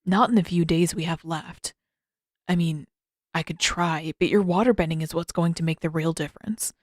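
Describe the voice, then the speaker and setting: A woman voicing a reserved, earnest teenage prince in an understated, measured and wry way for an audiobook story. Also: fairly low pitch, fairly even intonation, darkish tone, no room sound, clean studio sound, pitched below the performer's own voice, close to an everyday voice.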